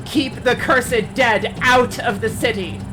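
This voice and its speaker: A woman's voice: fairly high pitch, fairly even intonation, takes it slowly, recorded from a distance, music in the background. A woman playing a fierce, grieving vizier and poison master in her thirties, with a commanding, dramatic delivery in a fantasy audio drama. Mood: commanding, battle fury.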